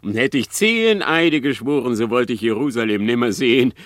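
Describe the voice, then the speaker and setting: An actor playing a cunning male fox, a smooth-talking trickster, in a classic verse radio play. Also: medium pitch, lively intonation, normal speed, close to an everyday voice. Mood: reassuring. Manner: wheedling, persuasive, theatrical verse delivery.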